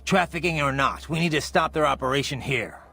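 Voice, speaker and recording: An actor playing a confident, wry cyborg mercenary in a video game. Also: male voice, on the high side, fairly quick, gravelly, pushed and bright. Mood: serious. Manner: cool, wry. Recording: game music behind.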